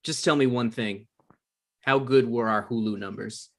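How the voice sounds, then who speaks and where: A man's voice: medium pitch, moderate pace, lightly acted. A man reading the part of an earnest, pushy young hopeful TV writer in a comedy table read. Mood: pleading. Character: eager, pushy male aspiring TV writer.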